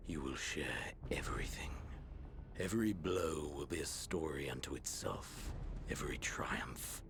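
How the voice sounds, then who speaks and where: Extremely deep, languid male villain. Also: noisy background, exaggerated voice. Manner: languid, brooding. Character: menacing, bored, battle-hungry male villain prince. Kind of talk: dramatic video game cutscene acting.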